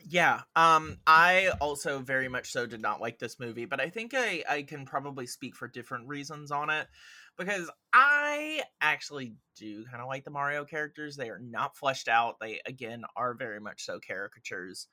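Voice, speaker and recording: A man's voice: medium pitch, animated, normal speed, sharp tone. A man giving emphatic, casual opinions as a podcast commentator in a film discussion. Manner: emphatic, mildly profane, uses mimicked quotes.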